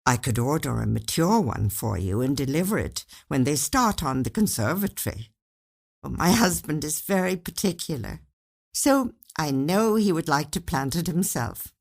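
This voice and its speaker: A woman reader plays a scheming wife from a short story. She sounds sweet and coaxing, with lively rises and falls in a slightly husky voice. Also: low-pitched, normal speed, heavily acted. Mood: sweetly composed. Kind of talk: acted dialogue in a read short story.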